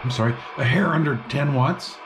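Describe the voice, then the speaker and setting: A man's voice: mid-range voice, normal speed. Rambling man over noisy radio static. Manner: rambling, thinking aloud, slangy. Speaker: hobbyist host demonstrating and testing an old CB radio. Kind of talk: casual unscripted bench-test chat. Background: CB radio receiver hiss and chatter.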